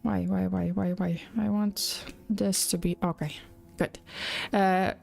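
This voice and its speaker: A woman hosting a casual livestream, her voice worn and going hoarse. She rambles in a chatty, loose way and keeps breaking off to thank and greet viewers, at an everyday mid pitch into a desk mic. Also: level tone, slow, darkish tone, soft background music. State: losing her voice. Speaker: livestream host.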